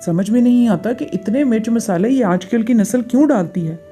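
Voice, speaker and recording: Woman playing a bossy, mellow-voiced demanding older aunt. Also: fairly high pitch, normal speed, faint music behind, lightly acted. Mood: complaining. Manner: commanding, complaining.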